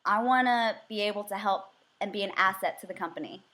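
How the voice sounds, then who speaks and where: A woman's voice: mid-range voice, fairly quick, pushed and bright. Earnest young woman in mock interview. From United States. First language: Vietnamese. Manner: earnest, eager, frequent "um" fillers. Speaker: job candidate. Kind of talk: mock job interview answers.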